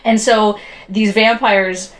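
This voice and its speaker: A woman's voice: medium pitch, fairly even intonation, takes it slowly, a little hiss or hum. A woman co-hosting a podcast, chatting informally about her impressions, often rephrasing herself and peppering in "like". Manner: informal, frequent "like" and self-corrections. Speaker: podcast co-host reviewing post-apocalyptic media. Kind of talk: casual review chat.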